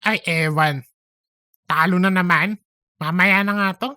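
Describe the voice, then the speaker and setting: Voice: mid-range voice, fairly even intonation, a little slow, pushed and bright, no echo, clean studio sound. A performer acting out chatty office colleagues in a comedic audio drama, with an exaggerated, teasing conversational manner. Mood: distracted.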